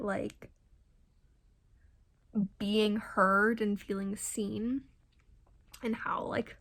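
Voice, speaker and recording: Halting, slow-paced young-sounding woman vlogger. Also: fairly high pitch. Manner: halting, thinking aloud. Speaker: book reviewer and vlogger. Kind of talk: casual vlog chat about books.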